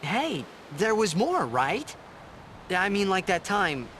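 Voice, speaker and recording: An actor playing an earnest, uncertain young hero in dramatic fantasy video game dialogue. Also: male voice, sits high, big pitch swings, slow, fairly bright tone, slight background noise, full character voice. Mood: hesitant, searching. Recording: game audio with music.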